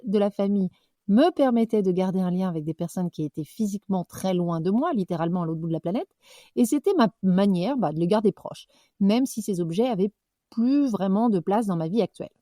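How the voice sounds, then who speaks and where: A young woman hosting a podcast, reflecting out loud in a loose, conversational way with fillers and self-corrections. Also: medium pitch, no echo, studio quality. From French-speaking, living abroad. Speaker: podcast host. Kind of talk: personal reflective monologue.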